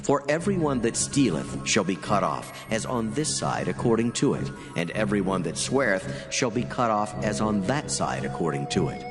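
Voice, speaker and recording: A man playing a solemn, authoritative angel who proclaims God's commands in a grave, measured, declamatory way in dramatized scripture. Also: fairly low pitch, big pitch swings. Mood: solemn, proclaiming. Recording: long hall reverb, music underneath. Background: background music.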